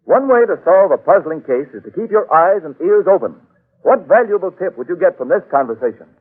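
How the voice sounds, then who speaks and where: A man working as a 1940s radio announcer, delivering a polished, emphatic commercial pitch. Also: very animated, fairly quick. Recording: tinny 1940s radio recording.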